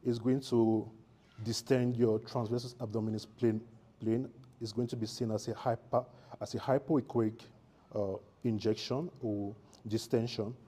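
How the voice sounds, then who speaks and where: A man, an anesthetist explaining a nerve block in a live teaching demonstration, halting and correcting his words as he goes. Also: medium pitch, fairly even intonation, warm tone. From Cameroon.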